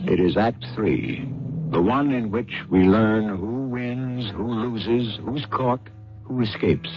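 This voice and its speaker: Deep, theatrical male radio narrator over music. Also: slow pace. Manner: theatrical, knowing, addresses listeners as friends. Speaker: radio drama host and narrator. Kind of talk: dramatic, wry scripted narration. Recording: dull old radio broadcast with music.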